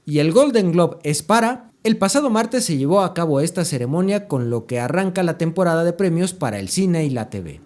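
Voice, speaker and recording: Mexican male podcast host, slightly high voice, halting conversational explainer, frequent question tags, roomy echo. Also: expressive, darkish tone. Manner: halting, often tags phrases with "¿no?". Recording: echoey room, clear background noise, hum.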